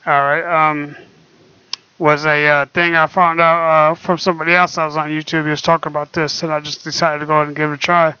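A young man talking quietly and hesitantly with many fillers, recorded on his laptop microphone at home. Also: a little high, little pitch movement, brisk, smooth, no echo. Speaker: vlogger. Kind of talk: casual screen-recorded talk. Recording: dull lo-fi laptop mic.

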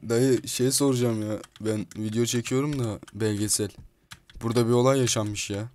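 A man giving excitable, slangy, foul-mouthed gameplay commentary as he chats in a roleplay game. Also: mid-range voice, normal speed. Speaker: video host playing a roleplay game. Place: indoors at a computer, voice over gameplay. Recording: clear background noise, keyboard clicking.

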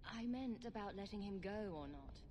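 An actress playing a tough, self-assured champion in a video game, speaking in a dry, direct and wry way. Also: female voice, mid-range voice, animated, a little slow, dark tone, a little hiss or hum, lightly acted. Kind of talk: video game character acting.

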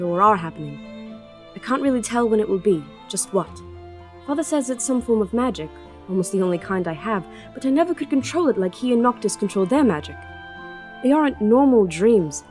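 A woman's voice: mid-range voice, darkish tone, lightly acted. A young woman playing an anxious, sorrowful princess in an audio drama, earnest and melancholy. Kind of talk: audio drama acting and first-person narration.

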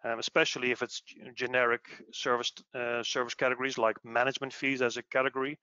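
A man explaining business material in a webinar in a measured, halting way over an online call. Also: mid-range voice, level tone, pushed and bright, clean tone, dry recording. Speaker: webinar presenter, tax expert. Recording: dull lo-fi online audio.

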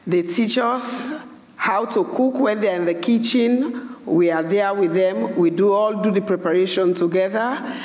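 A woman's voice: medium pitch, measured pace, darkish tone. An older woman from Leone, speaking earnestly as a panel guest and community elder on a headset mic. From Sierra Leone. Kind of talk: panel discussion. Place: large hall on stage. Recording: echoey hall reverb.

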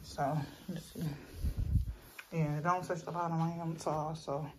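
A woman in her thirties chatting casually to camera in a vlog from a small bedroom, relaxed and talkative. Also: deep voice, a little slow, background noise. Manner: relaxed, chatty, uses lots of "y'all". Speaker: vlogger.

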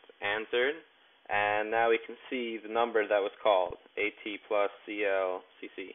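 Through a narrow, phone-like recording, a man with a lowish voice presents a technical tutorial. He explains each step plainly and instructionally, in halting phrases. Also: flat delivery. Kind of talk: step-by-step tech tutorial narration. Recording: tinny, narrow phone-like sound.

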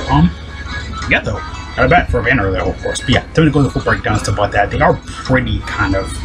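A man with a deep voice giving casual commentary on a mobile game, rambling quickly with many fillers and restarts. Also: poor audio. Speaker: gaming video host.

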